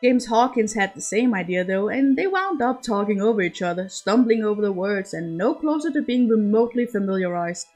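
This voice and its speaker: A woman's voice: mid-range voice, animated, fairly quick, few pauses, a little mellow, soft background music. A woman reading a scripted romantic story aloud as an amateur narrator. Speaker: amateur fan fiction reader.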